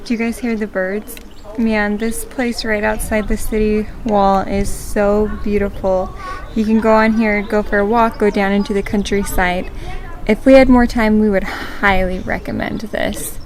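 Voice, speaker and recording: A woman's voice: medium pitch, level tone, a little slow, a little mellow. A young American woman chatting casually as a travel vlogger. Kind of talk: casual vlog chat. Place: outdoors in a town and gardens. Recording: outdoor ambience with clear background noise.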